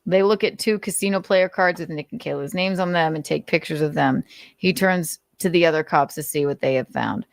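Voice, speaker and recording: A woman's voice: sits low, a little fast, creaky, dry recording, studio quality. A woman host reading notes aloud and adding blunt, sarcastic commentary, swearing freely. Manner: sarcastic, mocking.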